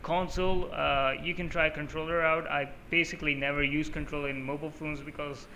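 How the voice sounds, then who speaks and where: Bright-voiced man, Urdu native, rambling tutorial. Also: fairly high pitch, little pitch movement, a little fast, highs cut off, a little hiss or hum. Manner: loose and rambling, many filler sounds. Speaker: mobile gaming channel host. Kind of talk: casual off-the-cuff settings walkthrough.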